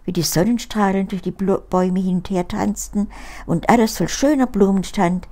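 An elderly woman, reading a fairy tale aloud as an expressive grandmotherly storyteller. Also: medium pitch, normal speed. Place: small book-filled living room. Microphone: lapel mic.

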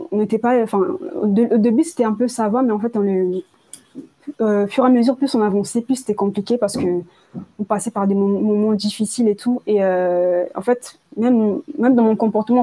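Young woman, dark mellow voice. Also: mid-range voice, poor audio. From Congo, moved to France at age nine. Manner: hesitant, repeats words, colloquial youth phrasing. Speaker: person giving a testimony. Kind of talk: personal testimony, informal spoken storytelling.

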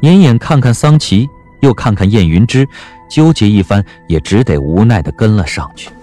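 A man narrating an audio drama in an expressive storytelling manner. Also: fairly low pitch, normal speed, faint music behind.

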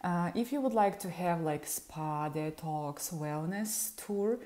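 A woman's voice: lowish voice, takes it slowly, mellow. A woman in her thirties from Ukraine with a Ukrainian accent, a yacht charter specialist speaking friendly and explanatory to camera in a small room at home through an earphone mic. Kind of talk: informative vlog talk to camera. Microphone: earphone mic, webcam framing.

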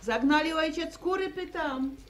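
A woman's voice: high-pitched, animated, slight background noise. An actress playing a tired, practical middle-aged farm mother who sighs and grumbles, in a vintage radio drama. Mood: weary. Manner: sighing, complaining, brisk. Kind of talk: 1950s radio drama acting.

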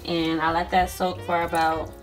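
A woman's voice: lowish voice, little pitch movement, moderate pace, low-quality recording. Young woman, chatty cooking vlog, home kitchen, music and sizzling behind. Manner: chatty, addresses viewers as y'all. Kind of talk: casual cooking vlog narration. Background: music and food sizzling.

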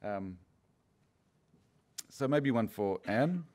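A panel moderator speaks in a very deep, measured voice as he puts questions to the speakers. He is standing on a conference stage with a handheld microphone. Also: lively intonation, darkish tone.

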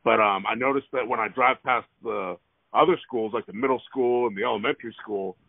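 A man speaks in a gravelly voice over a tinny phone line. He rambles with plenty of hesitation but keeps pressing his complaint. The sound is dry and close, as if from a parked car. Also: mid-range voice, brisk, no room sound. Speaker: resident calling about traffic. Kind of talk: phone call.

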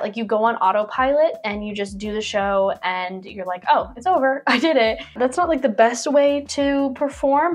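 A young woman in her twenties, a cruise ship performer, chatting casually to camera as she reads out viewer questions and answers them with lots of fillers and little laughs, recorded in a small bedroom on a lapel mic. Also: medium pitch. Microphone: clip-on lapel mic.

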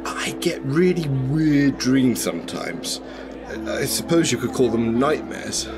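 An actor playing a playful, flirtatious man in a radio drama, with a teasing, animated delivery. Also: mid-range voice, takes it slowly. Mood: tipsy, hesitant. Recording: music under the voice.

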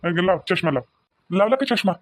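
A man's voice: high voice, animated, fast talker, fairly bright tone, dry recording. A man with a Varhadi Marathi accent voicing a doting, coaxing young village husband in a comedy cartoon. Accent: Varhadi (Vidarbha) Marathi.

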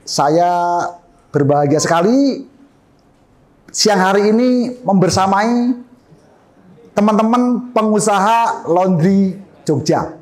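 A middle-aged man giving an energetic business seminar talk into a handheld microphone in a meeting hall, gesturing and questioning his audience. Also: high-pitched, slow pace, pauses often. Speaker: seminar speaker.

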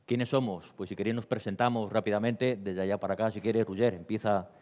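A middle-aged man with a low voice presents informally to a panel event. The recording has the long reverb of a hall. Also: fairly even intonation, fast, a little mellow. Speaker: presenter, creative director. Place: dark small theatre stage, panel table.

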